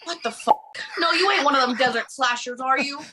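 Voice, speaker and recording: A woman's voice: sits high, a little fast, bright, forceful tone, slight background noise. A woman playing a brash male carjacker turned hostage in a comedic table read, with blustering street-slang delivery. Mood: defeated, wary.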